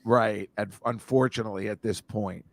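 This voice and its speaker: A man's voice: fairly low pitch, fairly even intonation, normal speed, creaky, no echo. A man, a comedian and film teacher, rambling in casual podcast chat and slipping into movie bits. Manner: rambling, slips into movie impressions.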